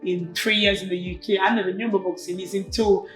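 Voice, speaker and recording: A woman's voice: medium pitch, fast. A woman in her twenties from Cameroon, a native French speaker, talking casually and animatedly as an interview guest. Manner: informal and animated, slangy asides. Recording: echoey room with faint music behind.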